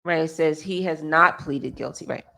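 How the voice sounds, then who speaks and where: Female American co-host, lower register, reads articles aloud informally with small self-corrections. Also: moderate pace, creaky, pushed and bright. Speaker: co-host reading a news article. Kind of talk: reading aloud with casual asides.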